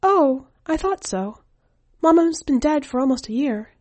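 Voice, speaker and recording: Woman acting a small boy, high-pitched, earnest, polite, bold, innocent, story character. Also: lively intonation, a little slow, a little mellow, no echo. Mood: innocent, matter-of-fact. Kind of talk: audiobook-style short story character voice.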